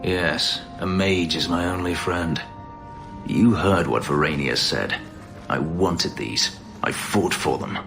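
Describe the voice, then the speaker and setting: A man playing a bitter, brooding former slave warrior in a video game drama, with a gravelly voice. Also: low-pitched, slow, full character voice. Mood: bitter, desolate. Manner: brooding, embittered. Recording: loud music behind the voice.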